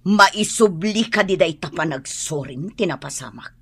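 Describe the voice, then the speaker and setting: A woman's voice: on the low side, lively intonation, normal speed, a bit bright, husky, no echo, full character voice. A woman playing a stern, middle-aged scolding mother in an Ilocano radio drama. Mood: scolding, exasperated.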